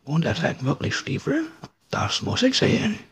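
A man voicing a gracious, easily flattered king with a regal, hesitant manner in a fairy tale. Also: a little high, normal speed, breathy edge, no echo, pitched above the performer's own voice, heavily acted. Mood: curious.

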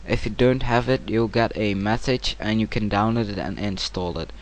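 A man narrating a casual software tutorial in hesitant, informal, non-native-sounding English. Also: on the low side, moderate pace. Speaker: tutorial presenter. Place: home computer screen-recording voice-over. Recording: clear steady background noise.

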